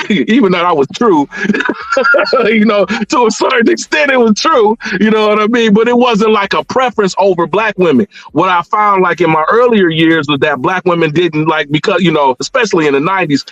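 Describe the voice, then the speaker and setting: A middle-aged American man with a slightly high voice talks casually as a guest caller. He circles back over his words in a rambling way. The sound is dry and a little muffled, like an online call. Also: normal speed, a bit bright, no echo, soft background music. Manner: rambling, repeats words, frequent "you know". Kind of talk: casual discussion of personal experience. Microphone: internet call connection. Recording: band-limited online call audio.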